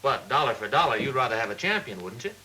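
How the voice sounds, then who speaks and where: An actor playing a smooth, persistent car salesman with polite, persuasive, methodical patter in a mid-century training film. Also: mid-range voice, big pitch swings, fast talker, bright, forceful tone, poor audio. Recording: old film soundtrack with faint hiss.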